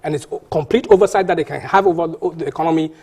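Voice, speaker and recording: A man's voice: on the high side, very fast, a little mellow, slightly rough. A man, a panel guest arguing insistently in a heated TV debate, often restarting and repeating words.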